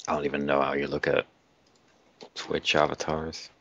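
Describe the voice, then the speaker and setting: A man from the Netherlands chatting casually and haltingly, on a slightly dull recording. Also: very deep voice, completely flat delivery, a bit bright, a little hiss or hum. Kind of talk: casual chat.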